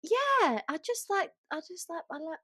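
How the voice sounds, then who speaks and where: A woman's voice: very high voice, sing-song, moderate pace, pushed and bright, no echo, studio quality. A woman co-hosting a podcast in casual chat, speaking informally and with emphasis. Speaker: podcast co-host.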